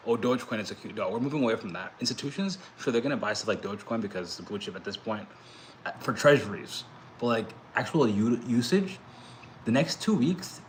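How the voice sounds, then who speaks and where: A man's voice: on the low side, a little hiss or hum, poor audio. A young man of Dominican heritage talking in a casual vlog monologue, rambling with lots of fillers and restarts. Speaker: crypto vlogger.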